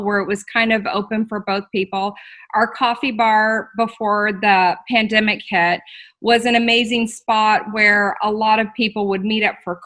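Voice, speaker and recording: A woman's voice: fairly high pitch, bright, forceful tone. A woman in her forties from Texas, chatting as a guest on a video call in a friendly way and laughing often, with filler ums. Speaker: guest, store owner. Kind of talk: casual interview.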